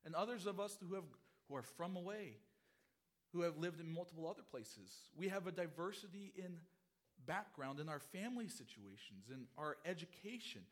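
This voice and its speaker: A middle-aged pastor preaching a sermon, his voice hoarse from a cold, earnest and expository, often repeating phrases. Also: fairly high pitch, lively intonation, normal speed.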